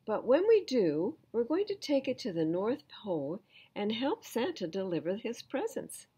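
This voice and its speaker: An older woman voicing a gentle, simple, earnest toy bear in a children's storybook. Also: fairly high pitch, sing-song, normal speed, breathy edge, voice pushed up. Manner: earnest, thoughtful.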